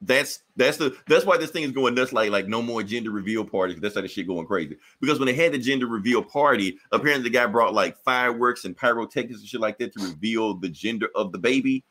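A man's voice: mid-range voice, fast talker, hardly any pauses, fairly bright tone. A man co-hosting a podcast, talking casually, rambling and swearing often, with frequent laughs. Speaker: podcast co-host. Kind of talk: casual profane chat.

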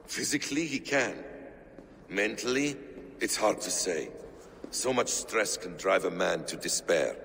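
A man playing a grave, watchful middle-aged assassin in a video game, with a measured, formal, brooding manner. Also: on the low side, lively intonation, gravelly, fairly bright tone, slight background noise, heavily acted. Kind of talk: dramatic video game cutscene acting. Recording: reverberant hall with music behind.

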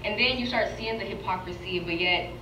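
A woman's voice: mid-range voice, a little fast, far from the mic. A young woman on a discussion panel, speaking casually and reflectively with many "like"s. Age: young adult. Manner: casual, reflective, uses "like" a lot. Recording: video played in an echoey hall, off-mic, noisy.

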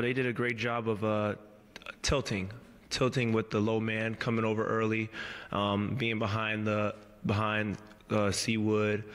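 A man in his thirties answering reporters at a postgame press conference, measured and halting, with many fillers and restarts, speaking into a table mic in a press room. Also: fairly low pitch, fairly bright tone, long reverb tail. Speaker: pro basketball player at postgame press conference. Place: press room before a team backdrop. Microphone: table mic on a stand.

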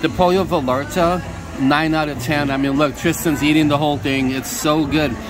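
A man's voice: a little high, steady tone, moderate pace, rough recording. A man hosting a casual food review vlog from a restaurant table, chatty and upbeat, speaking from behind a handheld camera. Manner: upbeat, chatty, simple praise words. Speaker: vlog host. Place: busy restaurant dining room. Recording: restaurant music and crowd noise. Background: background music and diners chatting.

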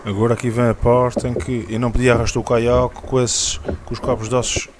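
A man with an Azorean Portuguese accent narrating his kayak fishing trip in a halting, casual way, often repeating words as he recalls. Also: mid-range voice, flat delivery. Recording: loud wind and water noise.

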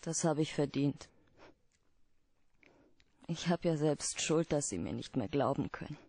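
An actress playing a guarded, troubled client pleading for help in a German radio play, hesitant and earnest. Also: female voice, low-pitched, animated, measured pace, warm tone, breathy edge, dry recording, studio quality, full character voice. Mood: contrite.

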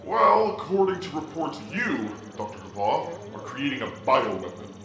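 A man's voice: mid-range voice, sing-song, normal speed, a crowd in the background, natural voice. A man playing a bold, sceptical investor making sardonic accusations in an audio drama. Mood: accusing. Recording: echoey, music underneath.

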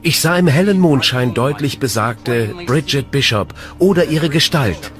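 A man's voice: medium pitch, expressive, a little slow, lightly acted. An actor playing a frightened Puritan man in his thirties, testifying in a dramatised documentary. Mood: haunted, fearful. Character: frightened thirty-two-year-old Puritan witness tormented at night.

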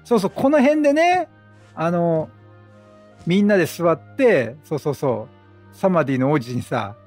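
Chatty guy streaming a game, voice kinda high and husky, music behind. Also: big pitch swings, moderate pace, darkish tone. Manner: chatty, reads dialogue aloud in acted voices. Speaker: let's play streamer. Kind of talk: casual game commentary with improvised character dubbing. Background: video game music.

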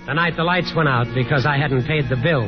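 An actor playing a weary grown son who narrates family memories in a 1950s radio drama. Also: male voice, a little high, fairly quick, music in the background, lightly acted. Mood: reflective. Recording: muffled 1950s radio broadcast.

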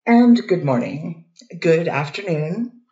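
A woman's voice: on the low side, expressive, slow, warm tone. A woman reads as a poised defense attorney working through a cross-examination one careful question at a time. The voice sits far from the mic in a roomy, echoing space. The recording sounds dull and muffled. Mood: steady. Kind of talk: readers theater courtroom reading. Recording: dull distant echoey recording.

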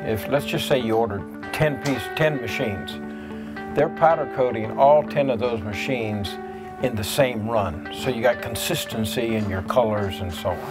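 A man's voice: medium pitch, moderate pace, over loud music. An older man touring a factory, commenting plainly and repeating words for emphasis. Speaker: club owner touring a factory. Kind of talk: interview comments. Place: factory floor, indoors.

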